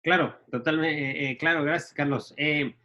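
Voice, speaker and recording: A man, telling stories of his youth in a casual, halting way full of restarts and fillers. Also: a little high, steady tone, bright, forceful tone, highs cut off. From Ecuador. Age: 40s. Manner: halting, many restarts and fillers, laughs at himself. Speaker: guest entrepreneur. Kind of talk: casual storytelling.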